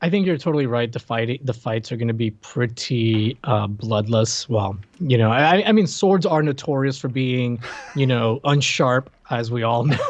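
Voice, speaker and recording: A man's voice: medium pitch, expressive, measured pace, dry recording. A man co-hosting a casual movie podcast, joking around and trailing off mid-sentence. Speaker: podcast co-host. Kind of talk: casual chat about movies. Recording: dry, dull lo-fi mic.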